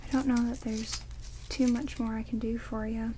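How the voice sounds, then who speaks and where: A woman playing an ancient, quirky vampire in an ASMR roleplay, speaking in halting, hushed whispers and soft murmurs. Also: on the high side, level tone, background noise, close to an everyday voice. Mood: matter-of-fact, caring. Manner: hushed, rambling, halting, drawn-out words. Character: ancient vampire, quirky, blunt yet caring, bandaging wounds. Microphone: close binaural microphones. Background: heavy rain.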